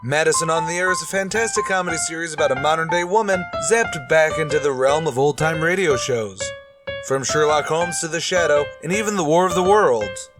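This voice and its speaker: A man's voice: on the high side, animated, brisk, over music. A man announcing as a podcast host in an upbeat, scripted style, chatty and enthusiastic.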